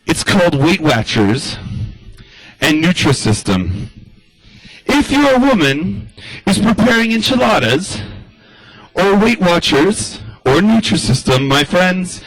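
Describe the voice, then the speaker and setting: A man's voice: on the high side, a bit bright, dry recording, low-quality recording. A man doing a rambling stand-up comedy monologue in the style of a radio address, loose and chatty, full of asides.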